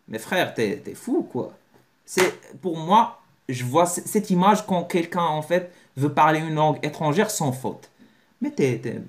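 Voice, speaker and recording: Man, lively swinging pitch, blunt and slangy, casual vlog talk. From Morocco. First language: Moroccan Arabic. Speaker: vlogger. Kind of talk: casual monologue to camera.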